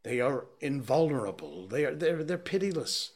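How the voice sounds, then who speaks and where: A man doing a clergyman undone by terror, his husky voice driven high and loud. He pleads, wails and slips into doom-laden preaching, every question climbing toward despair. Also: big pitch swings, moderate pace. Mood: raving. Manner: wailing, pleading, preaching in rising outbursts. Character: frantic, despairing male clergyman in shock.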